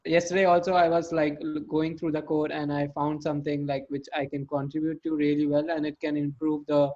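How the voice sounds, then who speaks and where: A man's voice: a little high, flat delivery, fairly quick, darkish tone. Eager young man rambling on a call. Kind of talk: self-introduction on video call. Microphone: video call. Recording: muffled lo-fi video call audio.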